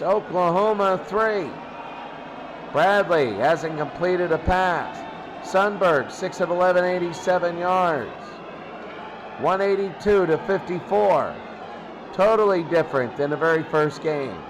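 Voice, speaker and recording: A man gives off-the-cuff football score commentary as a host. His voice sits high and he goes slowly, in short clipped fragments with pauses, over clear background noise. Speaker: sports studio host.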